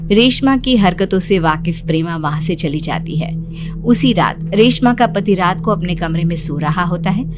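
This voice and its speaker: A woman's voice: on the low side, expressive, moderate pace, music in the background. A woman giving scripted narration for a cartoon story.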